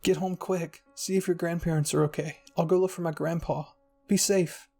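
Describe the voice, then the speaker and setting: Man reading as an anxious, creaky-voiced boy. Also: a little high, steady tone, moderate pace, warm tone, faint music behind. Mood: urgent. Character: anxious, thoughtful young boy, caring friend.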